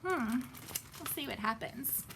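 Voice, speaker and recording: A woman reads to children in a high voice with a very lively melody. She takes her time, stopping to ask simple questions and point things out. There is some background noise. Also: measured pace, vocal fry. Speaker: children's storytime host and reader. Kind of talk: read-aloud picture book storytelling with asides to children.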